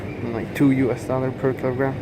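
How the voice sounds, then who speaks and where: A man's voice: medium pitch, flat delivery, background noise. Twenties male vlogger, Turkish accent, hesitant casual English, recorded on a phone in a busy supermarket. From Turkey. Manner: halting, many fillers and self-corrections. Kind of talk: casual walk-through vlog. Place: large supermarket indoors. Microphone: handheld phone camera. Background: store ambience.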